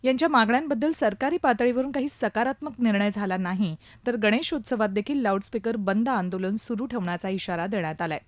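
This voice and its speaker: High-voiced woman narrating news, dry sound. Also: fast talker, hardly any pauses, no echo. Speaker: news reporter. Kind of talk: scripted news report narration.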